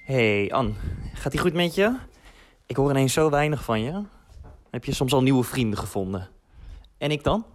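A man's voice: medium pitch, expressive, moderate pace, slight background noise, close to an everyday voice. An actor playing a teasing, slightly needy young man leaving a casual message for a friend in a fiction podcast. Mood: playful.

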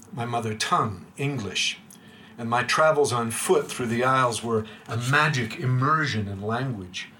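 A man in his sixties giving a prepared, literary lecture to a room of listeners, measured, with his voice dropping quiet at the ends of lines. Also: mid-range voice, slight background noise. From United States. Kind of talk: reading a prepared reflective talk. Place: room with a live audience.

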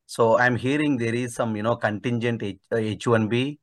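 A man calling in for advice, asking spontaneous questions in a tentative, halting way. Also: medium pitch, fairly even intonation, no room sound, clean studio sound. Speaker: caller seeking immigration advice.

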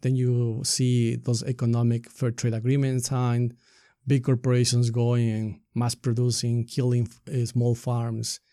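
Middle-aged Ecuadorian man, even mellow voice. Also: medium pitch, measured pace, dry recording, studio quality. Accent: Ecuadorian Spanish. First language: Spanish. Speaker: podcast guest, community activist and soccer coach. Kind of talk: conversational interview.

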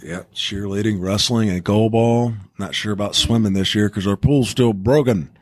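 A man's voice: on the low side, moderate pace, a little mellow, no room sound, studio quality. A man hosting a casual school podcast, encouraging his co-hosts and prompting them with questions. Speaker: podcast host.

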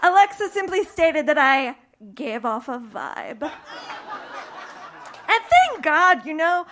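A woman's voice: high voice, sing-song, slow, very noisy. A young woman from the Midwest telling a bawdy, playful personal story on stage in front of a live audience, laughing through her lines. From American Midwest.

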